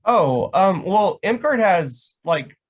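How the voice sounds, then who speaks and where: A man's voice: high-pitched, sing-song, takes it slowly. An American man from Florida explaining things in a casual, rambling way, often padding with "like". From Florida, United States. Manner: rambling, filler-heavy with frequent "like". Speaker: guest, live action roleplay organizer.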